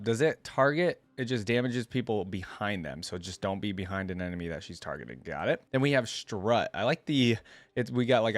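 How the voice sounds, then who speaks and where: A man's voice: medium pitch, dry recording. A man hosting a reaction video, chatting casually as he comments on game lore and abilities.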